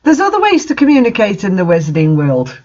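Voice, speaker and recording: A man's voice: high-pitched, huge pitch swings, fast talker, darkish tone, lo-fi sound. A man doing a mocking read-aloud of bad fan fiction, with incredulous, sarcastic asides in casual commentary.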